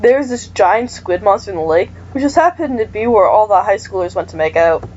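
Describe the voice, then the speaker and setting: A woman reading the lines of a chatty teenage boy who loves horror movies, rambling excitedly. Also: medium pitch, fairly quick, lo-fi sound, a little hiss or hum, lightly acted.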